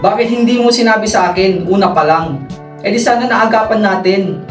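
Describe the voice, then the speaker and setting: A woman's voice: mid-range voice, brisk, faint music behind, natural voice. A woman playing a blunt, concerned young friend in a radio drama, confronting and exasperated. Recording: echoey, distant from the mic.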